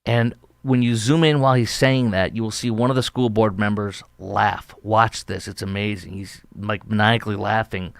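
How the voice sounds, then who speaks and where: A man hosts a political talk show, sounding indignant and emphatic as he rambles through his commentary. His voice sits on the low side, recorded close and dry with no room sound. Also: steady tone, normal speed, creaky, hardly any pauses, no room sound.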